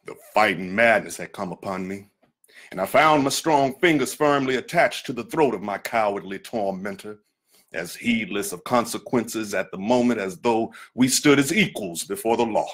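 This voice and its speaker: Male reader, lowish register, for dramatic memoir excerpts; measured, oratorical delivery. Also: animated, fairly bright tone. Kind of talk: dramatic literary reading of a 19th-century memoir.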